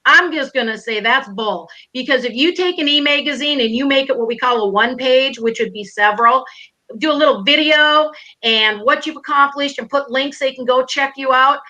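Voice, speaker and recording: A high-voiced woman in her fifties with a Minnesota accent, bright and forceful as she talks straight to her viewers. She is blunt and excitable, hosting a casual online chat. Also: moderate pace, poor audio. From Minnesota, USA. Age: 50s. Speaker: host.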